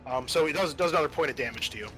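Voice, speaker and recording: A man with a bright, forward voice works as game master for a tabletop horror game. He describes scenes graphically in halting, informal speech that can turn sweary. Faint music plays behind him. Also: on the high side.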